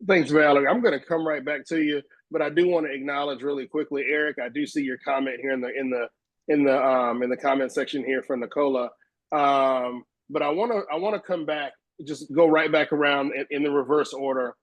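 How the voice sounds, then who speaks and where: A man's voice: mid-range voice, fairly even intonation, brisk, no room sound, rough recording. A man moderating a panel discussion on a video call, conversational and often stumbling over restarts.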